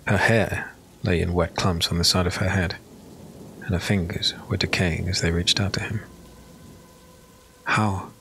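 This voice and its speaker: A man giving solemn, measured, dramatic narration of a dark fantasy audiobook. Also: low voice, little pitch movement, slight background noise, rough recording. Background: music.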